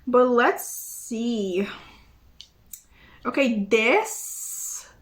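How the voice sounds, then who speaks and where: A woman's voice: on the high side, expressive, drawn-out words. A young woman giving a casual, rambling tarot reading to her viewers, with lots of fillers and giggles. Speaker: tarot reader.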